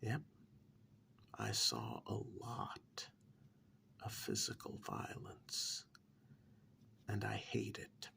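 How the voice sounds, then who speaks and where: A man playing a menacing, soft-spoken ex-military club boss in an ASMR role-play, talking in a breathy half-whisper with deliberate pauses. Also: fairly low pitch, sing-song, full character voice. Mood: grimly reminiscing. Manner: slow, deliberate, quietly menacing with drawn-out pauses.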